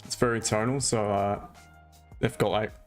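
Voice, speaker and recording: Low-voiced man hosting a trading stream. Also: moderate pace, soft background music. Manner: relaxed, chatty, answers viewer questions. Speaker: live-stream forex trading host. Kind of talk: casual live-stream chat explaining trades.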